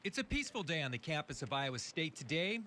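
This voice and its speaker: A man's voice: on the high side, sing-song, fast talker, bright, forceful tone, big-room sound, a little hiss or hum. An American man narrating a scripted television news report as a field reporter.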